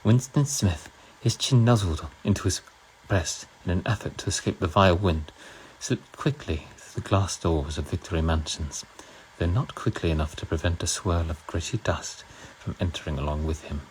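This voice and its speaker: Low British storybook narrator, put on by a man. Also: moderate pace, darkish tone, slight background noise, close to an everyday voice, poor audio. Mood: measured storytelling. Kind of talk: audiobook-style novel reading.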